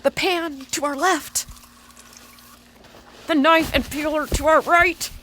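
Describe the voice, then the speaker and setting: An actress playing a frightened, melodramatic character making a halting confession in a comic audio drama. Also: female voice, sits high, steady tone, sharp tone, slight background noise, exaggerated voice. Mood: fearful, melodramatic. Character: melodramatic frightened small doomed character confessing to companion Sam.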